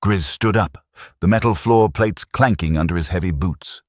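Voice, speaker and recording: A man narrating a science fiction audiobook with dramatic, expressive storytelling. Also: deep voice, normal speed, dry recording, clean studio sound.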